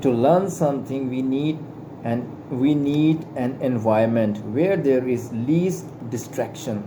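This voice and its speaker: Guy reading a spiritual book out loud in english, not his first language, real slow, trips over words, ac humming behind. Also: medium pitch. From Pakistan. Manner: halting, stumbles and repeats words. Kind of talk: slow careful reading aloud. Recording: steady air conditioner hum behind. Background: air conditioning hum.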